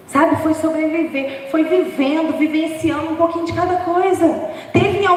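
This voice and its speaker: A young woman preaching an informal sermon, chatty and humorous, laughing at herself, with a hoarse voice. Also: very high-pitched, slight background noise. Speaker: preacher from the dance ministry. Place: large church hall. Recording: echoey church hall from far off.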